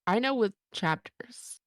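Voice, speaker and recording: A woman's voice: medium pitch, expressive, takes it slowly, no room sound, clean studio sound. A woman asking questions in a casual, conversational way as a panel interviewer. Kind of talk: casual chat.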